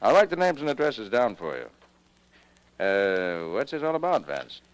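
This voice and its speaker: A wisecracking publisher, swooping delivery. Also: male voice, mid-range voice, sing-song, a little slow, clear, resonant tone. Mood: wry. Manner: brusque, wry, slangy. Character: gruff, wisecracking music publisher, grumbling about sales. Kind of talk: 1940s radio detective drama acting.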